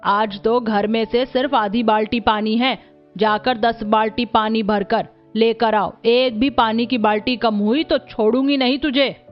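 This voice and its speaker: A woman's voice: on the high side, steady tone, unhurried, muffled recording, faint music behind, close to an everyday voice. A woman voicing a harsh, scolding middle-aged aunt in a cartoon family drama.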